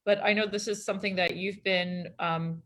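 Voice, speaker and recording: A woman's voice: medium pitch, steady tone, moderate pace, fairly bright tone. A woman hosting a casual interview over a video call, enthusiastic and laughing often. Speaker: astrologer and host.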